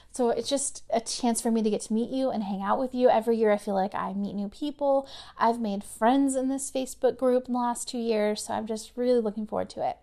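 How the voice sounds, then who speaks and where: Chatty woman podcast host, slightly high. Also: a little mellow. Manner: chatty, conversational, many fillers. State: voice getting worn from overuse. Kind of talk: casual podcast monologue.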